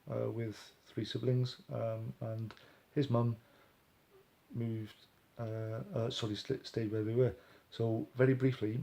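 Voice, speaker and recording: A man's voice: medium pitch, creaky, warm tone. A man, talking casually to camera and rambling with lots of ums and restarts. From Wales. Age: 40s. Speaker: language learner sharing his story.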